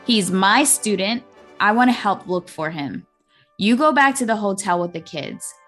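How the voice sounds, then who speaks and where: A woman's voice: mid-range voice, expressive, normal speed, fairly bright tone, close to an everyday voice. Woman doing a lady teacher in a story, stubborn and protective, music behind. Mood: insistent. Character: defiant, protective female schoolteacher.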